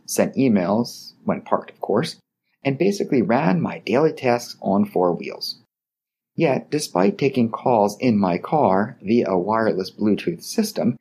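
Low, husky-voiced man hosting an etiquette podcast. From Baltimore, Maryland area. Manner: polite, measured, lively delivery. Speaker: podcast host. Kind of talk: scripted advice podcast.

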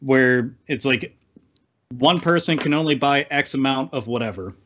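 A man's voice: mid-range voice, moderate pace, fairly bright tone, a little hiss or hum. Blunt, slangy man with slightly dull audio. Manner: blunt, heavy swearing, slangy. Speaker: podcast panelist. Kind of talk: casual opinionated chat.

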